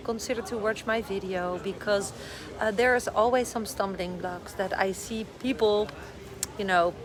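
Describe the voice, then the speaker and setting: A middle-aged woman from the Netherlands with a Dutch accent, chatting on a casual live stream, a little short on sleep and a bit scattered. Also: medium pitch. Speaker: vlogger giving advice. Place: airport departure area. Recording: noisy airport live stream. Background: airport ambience.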